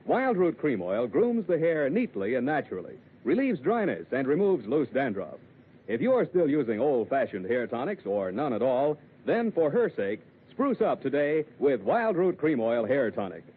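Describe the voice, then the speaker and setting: A man's voice: medium pitch, husky. A man with an American accent working as a 1940s radio announcer, emphatic and salesmanlike. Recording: tinny old radio broadcast. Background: music.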